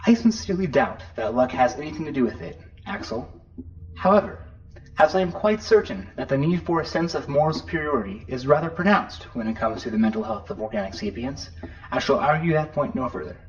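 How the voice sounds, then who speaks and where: A man's voice: fairly high pitch, expressive, fairly quick, close to an everyday voice. A man playing a prim, officious ship's computer in a science fiction audio drama, formal, pedantic and coolly sarcastic. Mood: dry, superior. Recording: dull, band-limited computer sound.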